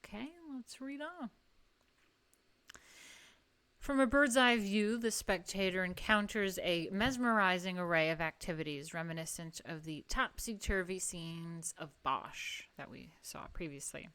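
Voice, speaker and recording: A woman with a New York accent and a fairly low voice reads an art history book aloud for her viewers on a stream. She breaks off now and then to add wry, offhand comments of her own. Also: measured pace, fairly bright tone, clear, resonant tone. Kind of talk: casual livestream reading and commentary.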